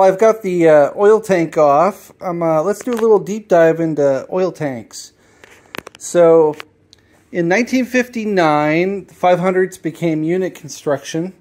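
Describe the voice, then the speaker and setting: Guy in his garage walking thru motorcycle repairs, kinda high voice, plain talk w lots of ums. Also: darkish tone. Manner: plain, matter-of-fact, with frequent fillers and self-corrections. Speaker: motorcycle restorer filming his build. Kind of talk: hands-on workshop explanation. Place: home garage workshop.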